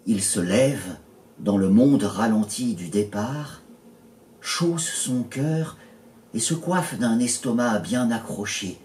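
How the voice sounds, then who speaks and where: A man recites poetry in a fairly low voice and at a very slow pace. He measures out short phrases with pauses between them. The recording is distant and roomy, with echo around the voice. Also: animated, darkish tone. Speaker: poet reading own poems. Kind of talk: slow literary poetry reading. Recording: roomy echo, distant mic, faint noise.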